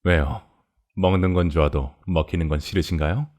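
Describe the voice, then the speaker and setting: A man's voice: low voice, fairly even intonation, moderate pace, darkish tone, close to an everyday voice. A man voicing a polite, sadistic cannibal farmer in a horror audio drama, explaining things in a courteous, leisurely, gloating way. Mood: calmly menacing. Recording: music behind the voice.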